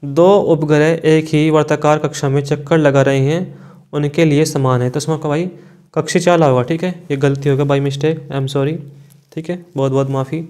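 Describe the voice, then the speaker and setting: A man's voice: fairly high pitch, steady tone, normal speed, darkish tone. A man, an exam coaching teacher reading out quiz questions and giving answers in an informal, brotherly way.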